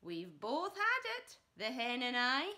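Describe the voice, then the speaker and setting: A woman voicing a loud old grandmother who shouts emphatically and demands attention, in a children's storybook reading. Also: high voice, wildly swooping pitch, pitched above the performer's own voice, full character voice. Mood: loud, indignant. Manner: shouting, emphatic, drawn-out words.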